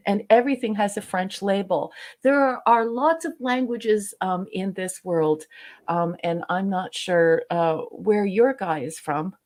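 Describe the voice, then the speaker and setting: Playful, teasing woman co-host. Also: mid-range voice. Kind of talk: casual livestream chat.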